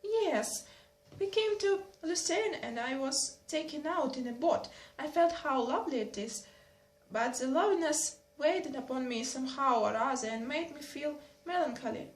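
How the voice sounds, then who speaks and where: A soft-spoken, good-natured young nobleman, voiced by a woman reader, hesitant and unhurried. Her voice stays high and sounds roomy and echoing. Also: animated. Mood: gentle. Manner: mild, hesitant, slow. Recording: roomy echo.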